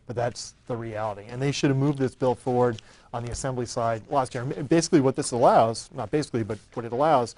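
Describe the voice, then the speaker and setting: Man, state senator, talk show host, rapid, determined. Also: medium pitch, low-quality recording. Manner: quick, forceful and determined. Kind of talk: public affairs talk show.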